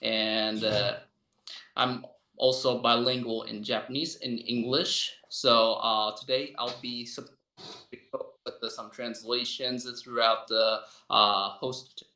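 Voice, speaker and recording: A man's voice: mid-range voice, little pitch movement, takes it slowly, bright, forceful tone. A man from the United States speaking casually and hesitantly as a guest and translator on an online call. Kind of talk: casual self-introduction. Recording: echoey room, video call.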